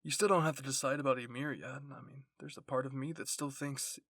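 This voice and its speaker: A man's voice: mid-range voice, fairly even intonation, brisk, fairly bright tone, no echo, studio quality. A man voicing an intense, blunt and protective teenage boy soldier in an anime fan fiction roleplay. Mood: earnest.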